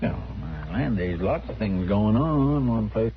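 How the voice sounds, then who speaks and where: An actor playing a good-natured, dawdling deputy with a rural American drawl in an old radio western. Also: male voice, fairly low pitch, lively intonation, a little slow. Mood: easygoing. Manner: drawling, folksy. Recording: tinny, noisy old radio recording.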